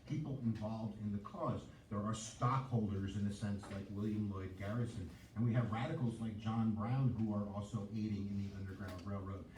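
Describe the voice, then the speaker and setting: Man, history video narrator, low mellow voice, roomy distant sound, faint noise. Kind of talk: casual explanatory lecture.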